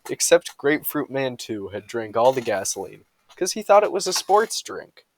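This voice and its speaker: A man's voice: mid-range voice, very animated, moderate pace, slight background noise. A man reading humorous bedtime stories aloud with a mock-serious storytelling delivery.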